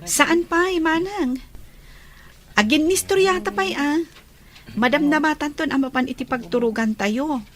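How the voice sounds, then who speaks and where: A woman's voice: high-pitched, a little slow, pauses often, fairly bright tone. A woman playing an eager, chatty younger woman in an Ilocano audio drama. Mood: eager, friendly.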